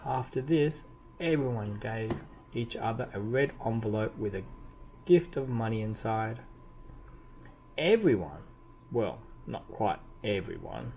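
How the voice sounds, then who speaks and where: A man with a fairly low voice reads a picture book aloud for children. His delivery is expressive and deliberate, stretching out key words for emphasis. There is some noise behind the recording. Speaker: children's storybook reader. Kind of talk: expressive read-aloud storytelling. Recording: clearly audible background noise.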